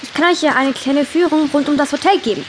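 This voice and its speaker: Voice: high voice, quick pace, slight background noise, lightly acted. A performer playing a cheeky, sarcastic country girl in a children's audio drama, with a wry delivery. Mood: mock grand. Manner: wry, mock-formal at times.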